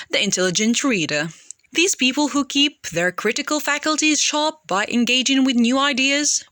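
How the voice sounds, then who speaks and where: A woman's voice: high-pitched, pushed and bright, no echo, clean studio sound. A woman reading scripted audiobook narration.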